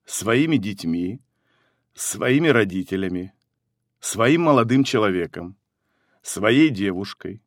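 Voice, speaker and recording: A man reads language-course phrases at an unhurried, measured pace, each one spoken carefully and clearly. His intonation moves up and down a lot from phrase to phrase, and the recording is close and dry with no room sound. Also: mid-range voice, no echo. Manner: deliberate, carefully enunciated with pauses between phrases. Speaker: phrasebook reader.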